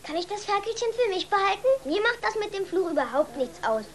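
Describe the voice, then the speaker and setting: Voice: very high-pitched, very animated, brisk, a bit bright, full character voice. A performer playing an earnest, insistent little farm boy in a children's radio play. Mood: stubborn, earnest. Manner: pleading, insistent.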